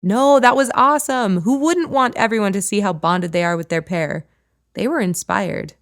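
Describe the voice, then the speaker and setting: A woman's voice: on the low side, animated, moderate pace, no room sound, clean studio sound. A woman voicing a teasing, goading woman friend in an audiobook. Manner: playful, goading.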